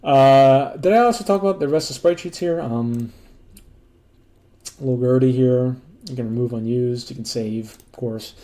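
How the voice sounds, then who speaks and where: A hoarse man casually walking through a demo of software he built, rambling loosely with lots of fillers, restarts and blunt joking asides. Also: medium pitch, moderate pace. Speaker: developer demoing his own software. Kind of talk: casual rambling screen walkthrough.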